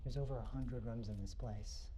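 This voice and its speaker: A lone survivor acted by a man chats to his own camera in found footage horror style. His mid-pitched voice is soft and mellow, easygoing with a weary, reflective streak. Faint noise sits in the amateur recording. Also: steady tone, moderate pace, natural voice. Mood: hushed, cautious. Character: lone male survivor filming himself, weary but easygoing. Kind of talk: found footage horror monologue, casual vlog-like narration.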